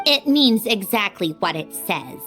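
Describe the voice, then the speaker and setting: A woman's voice: medium pitch, expressive, unhurried, loud music behind the voice, lightly acted. A woman playing an aloof, teasing young woman with dry wit and a curt, haughty manner in an anime game.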